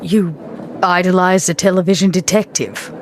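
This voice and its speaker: A woman voicing a genteel, reserved female vampire in a tabletop roleplay podcast, with dry, measured questioning. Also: fairly low pitch, steady tone, slight background noise, close to an everyday voice. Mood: probing, curious.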